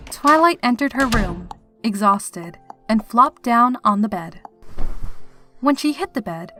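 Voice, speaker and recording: A woman's voice: a little high, a bit bright. A woman reading a horror story aloud as a scripted, dramatic narrator. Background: background music.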